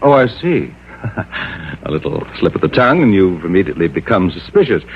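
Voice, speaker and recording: A man's voice: sits low, big pitch swings, moderate pace, a little hiss or hum. An actor playing a genteel, evasive man in a radio crime drama, uneasily polite and hesitant. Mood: defensive, smooth. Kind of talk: old-time radio crime drama acting. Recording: muffled, humming old radio broadcast.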